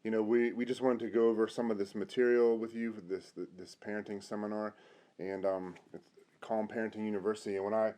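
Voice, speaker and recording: A middle-aged man, a pastor, hosting an informal conversational interview in a halting way. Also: on the low side, flat delivery, mellow. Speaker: host, pastor.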